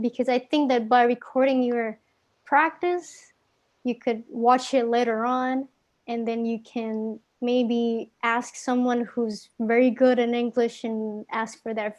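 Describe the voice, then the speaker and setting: A woman's voice: a little high, darkish tone. A young woman from Indonesia with an Indonesian accent, giving casual tips in a live online English class, with many fillers and restarts. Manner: casual, filler-heavy, self-correcting. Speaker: guest English speaking tutor.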